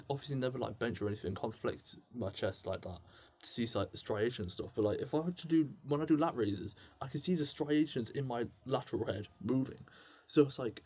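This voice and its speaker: A young man with a slightly husky voice, rambling through a self-deprecating, slangy, sweary confessional video diary. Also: mid-range voice, warm tone. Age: young adult. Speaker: solo video diarist. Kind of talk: rambling, confessional casual chat to camera.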